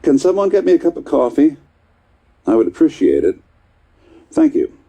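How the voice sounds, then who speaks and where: Husky, dark-voiced, distracted male scientist. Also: a little high, wildly swooping pitch, normal speed, exaggerated voice. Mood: distracted, put out. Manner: patronising, encouraging, hesitant asides. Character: chatty, distracted male scientist running tests on a subject. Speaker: test supervisor. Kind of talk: game voice acting.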